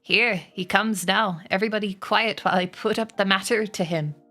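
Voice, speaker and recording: A woman voicing an old, shrewd barnyard sheep who speaks with measured authority in a storybook. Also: mid-range voice, bright, forceful tone, soft background music, lightly acted. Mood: matter-of-fact, scheming. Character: oldest sheep, wise, shrewd, persuasive barnyard elder.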